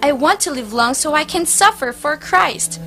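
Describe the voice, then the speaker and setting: A woman's voice: high voice, lively intonation, moderate pace, pushed and bright, soft background music, exaggerated voice. A woman playing a naive, cheerful and pious nun in a stage play. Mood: naive, amused. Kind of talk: amateur stage play acting.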